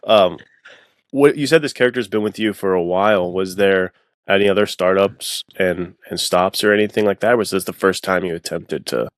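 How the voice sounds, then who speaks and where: Deep-voiced man hosting a casual podcast. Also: normal speed, dry recording, clean studio sound. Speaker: podcast host. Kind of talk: casual chat interviewing.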